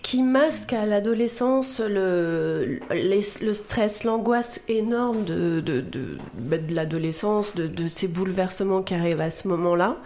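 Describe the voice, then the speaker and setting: A woman's voice: medium pitch, lively intonation, measured pace, few pauses, darkish tone. A woman hypnotherapist giving advice on a radio show, thoughtful and searching for words.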